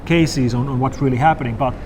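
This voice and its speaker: A man with a Finnish accent, a native Finnish speaker, talking as a guest in an interview, animated and musing. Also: medium pitch, quick pace, slight background noise. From Finland. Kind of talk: conversational interview.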